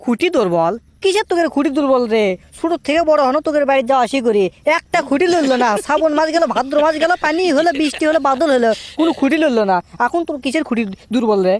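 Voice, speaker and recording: A man acts out a brash young cartoon man with a high voice and a Bogura accent. He rattles along very fast, teasing and boasting, in a dry, close sound. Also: expressive, no room sound. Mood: exasperated teasing. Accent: Bogura, Bangladesh. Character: cheeky, boastful young man scolding his lovesick friend. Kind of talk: comic cartoon dialogue.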